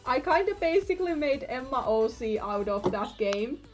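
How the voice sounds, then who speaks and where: High, animated young woman streaming. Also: moderate pace, faint music behind. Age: young adult. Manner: playful, jokey. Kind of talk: casual chat.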